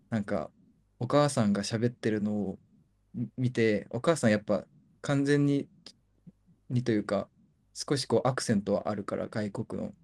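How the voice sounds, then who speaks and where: A young man and co-host, a native Japanese speaker, talking thoughtfully in English and Japanese in a casual chat. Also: medium pitch. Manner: soft, thoughtful, switches between English and Japanese.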